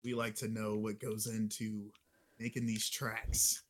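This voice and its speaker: A man's voice: lowish voice, completely flat delivery, unhurried. A young man co-hosting a podcast, laughing and teasing casually. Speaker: podcast co-host.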